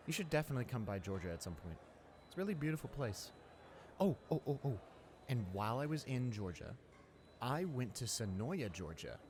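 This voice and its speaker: A man's voice: medium pitch, darkish tone, natural voice. Man playing a chatty teenage schoolboy, noisy ambience. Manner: rambling, enthusiastic, hesitant with stammers and repeats. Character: friendly, eager, chatty male high school senior. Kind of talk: roleplay audio drama, casual one-sided conversation. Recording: clear background noise, ambience.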